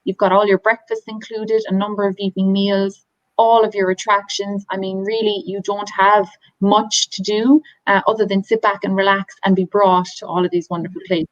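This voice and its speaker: A woman's voice: medium pitch, flat delivery, normal speed, no room sound. Irish woman talking thru tour slides on a video call, dull dry sound, lotsa ums. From Ireland. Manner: chatty, enthusiastic, many ums and restarts. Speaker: tour company representative.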